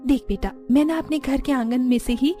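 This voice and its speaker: A woman's voice: sits high, brisk, warm tone, natural voice. Lady doing an anxious auntie, mother of the bride, cartoon, earnest, music behind. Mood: earnest, relieved. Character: middle-aged aunt, anxious mother of the bride. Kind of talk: Hindi cartoon voice acting.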